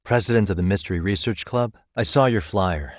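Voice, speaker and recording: A man's voice: on the low side, a little fast, dry recording, close to an everyday voice. A male actor with an American accent playing a wry, easygoing private detective in a video game, talking in a dry, casual, persuasive way. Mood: calm, negotiating. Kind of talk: scripted game dialogue, conversational.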